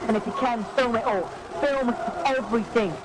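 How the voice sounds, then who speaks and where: A woman's voice: on the high side, moderate pace, close to an everyday voice. A woman playing a defiant rebel broadcasting to rioters, urgent and impassioned, in an audio drama. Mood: urgent warning. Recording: very noisy street broadcast with vehicles.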